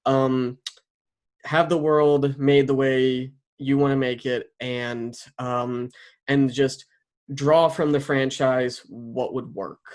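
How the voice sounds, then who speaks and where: A man's voice: mid-range voice, little pitch movement, takes it slowly, darkish tone. Man, casual interviewer, enthusiastic, talkative, mid-range voice. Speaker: interviewer and series writer.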